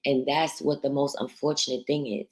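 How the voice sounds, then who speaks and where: Deep-voiced blunt woman livestream host. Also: flat delivery, normal speed. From New York. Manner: blunt, profane and outspoken. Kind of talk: casual livestream chat reading viewer comments.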